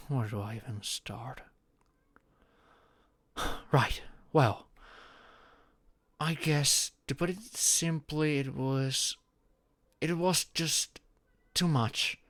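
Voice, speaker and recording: A young man playing a shy, anxious friend in an intimate audio roleplay, speaking haltingly with sighs and murmurs. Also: mid-range voice, fairly bright tone, dry recording. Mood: uneasy, halting. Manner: halting, sighing, breathy murmurs rising to tearful outbursts.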